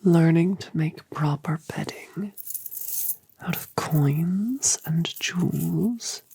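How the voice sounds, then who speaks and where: A woman voicing a colossal, gentle, motherly dragoness in a whispered audio drama, soothing and affectionate with genteel phrasing. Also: fairly low pitch, takes it slowly, creaky, husky, dry recording, full character voice. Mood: gently instructive. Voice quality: soft whisper.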